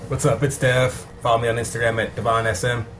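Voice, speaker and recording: Fast-talking blunt co-host. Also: male voice, mid-range voice, level tone, a bit bright, a little hiss or hum. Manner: quick, blunt remarks. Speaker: podcast co-host. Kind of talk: casual chat.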